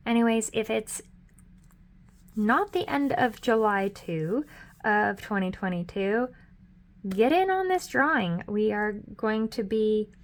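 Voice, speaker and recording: Mom-aged lady chatting thru her budget, kinda hoarse, lots of ums and take-backs. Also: mid-range voice. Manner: chatty and upbeat, with frequent ums and self-corrections. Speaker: budgeting vlogger. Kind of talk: casual budget walkthrough.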